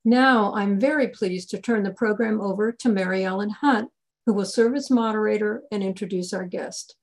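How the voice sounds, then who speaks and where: A woman's voice: medium pitch, moderate pace. Older woman giving a scripted welcome. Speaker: library group president introducing an event. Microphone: video call.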